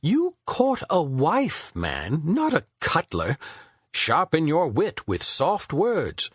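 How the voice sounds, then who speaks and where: A man voicing a theatrical poet in his forties, who declaims his lines slowly and loftily with a husky voice. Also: on the high side, huge pitch swings, no echo, clean studio sound, voice pushed up, heavily acted. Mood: scolding, theatrical. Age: 40s. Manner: drawn-out, declaiming, slow and lofty. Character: theatrical poet, 41-year-old man, grandly wordy.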